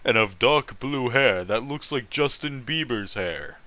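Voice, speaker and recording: Bright-voiced man, foul-mouthed read-aloud commentator. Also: medium pitch, expressive, a little slow, no echo. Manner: foul-mouthed, incredulous, breaks off to react. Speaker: host reading fan fiction aloud and commenting.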